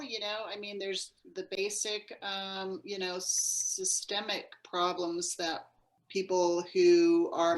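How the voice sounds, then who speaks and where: Slow, halting woman panelist in echoey room. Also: fairly low pitch. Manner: hesitant, halting, searching for words. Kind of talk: unscripted panel discussion. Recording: roomy, echoey sound.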